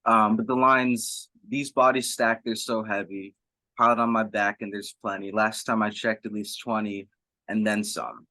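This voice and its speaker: A man conducting a thoughtful interview, asking long, reflective questions in a measured way. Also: fairly low pitch, level tone, pushed and bright, dry recording.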